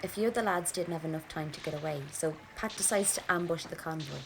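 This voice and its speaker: Low, gravelly grieving Irish woman. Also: fast talker, very noisy. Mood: sombre. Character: grieving, stubborn Irish woman from a 1920s rebel family. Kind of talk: first-person audio drama monologue.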